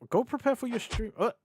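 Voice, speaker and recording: Chatty British man, slightly hoarse. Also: extremely high pitch. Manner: chatty, scattered, quick to laugh. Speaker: VTuber streamer. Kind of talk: rambling live-stream chat. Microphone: desk mic on a boom arm.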